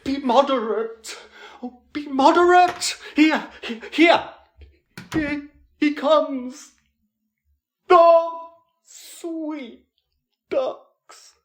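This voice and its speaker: A fussing, melodramatic matchmaker in a classical audio drama. The voice is high and extremely slow, dragging out each gushing phrase. The character is sentimental and theatrical. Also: female voice. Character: Pandarus, fussing, meddling, sentimental older relative of the lovers.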